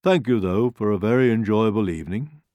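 A man's voice: on the low side, lively intonation, dry recording, lo-fi sound, natural voice. Man as a sly, courteous storybook wolf. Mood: mild, sighing. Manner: polite, murmuring, deliberate. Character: sly, courteous big brown wolf, male, unhurried. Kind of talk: storybook character voice.

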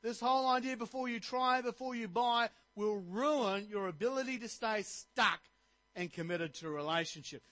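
Middle-aged man, pastor, animated sermon delivery, fairly high voice, dry close sound. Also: normal speed, dry recording. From Australia. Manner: animated preaching, rising to emphatic points.

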